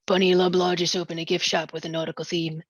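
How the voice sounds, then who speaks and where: A scheming small-town mayor, played by a woman in a comedy musical read. The voice sits slightly low and is husky, with a theatrical, self-important delivery. The sound is dry, with very little room. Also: flat delivery, fast, no echo, muffled recording, lightly acted. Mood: pompous, defiant. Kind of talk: script read aloud at a comedy musical table read.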